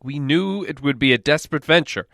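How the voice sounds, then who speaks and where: A man's voice: fairly high pitch, brisk, vocal fry, fairly bright tone, dry recording, clean studio sound. A man voicing a proud, declamatory dwarf leader. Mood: solemn, assuring. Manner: formal, declamatory, emphatic. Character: proud, formal male dwarf leader, stern. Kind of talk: storybook character voice in audiobook reading.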